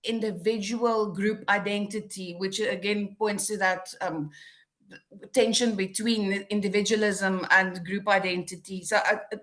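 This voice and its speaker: A woman's voice: medium pitch, steady tone, measured pace, fairly bright tone. A woman from South Africa whose first language is Afrikaans, answering as a panelist in an academic discussion over a video call, tentative and correcting herself.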